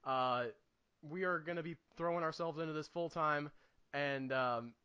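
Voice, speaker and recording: A man's voice: on the high side, moderate pace, sharp tone, smooth, no echo, highs cut off. A man in his late twenties chatting casually as a podcast co-host, with a joking, self-deprecating manner. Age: late 20s.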